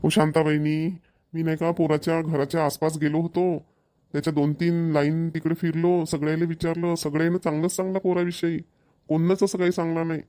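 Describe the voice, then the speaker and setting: Man playing earnest village dad, cartoon dialogue, Vidarbha Marathi accent, rapid, soft-edged tone, emphatic repetition. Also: on the high side, flat delivery, lightly acted. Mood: earnest, reassuring. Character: earnest village father arranging his daughter's marriage.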